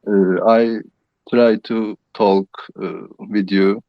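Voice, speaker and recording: A man with a Turkish accent, a native Turkish speaker, talking haltingly in English on dull, dry call audio. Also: fairly low pitch, fairly even intonation, dry recording.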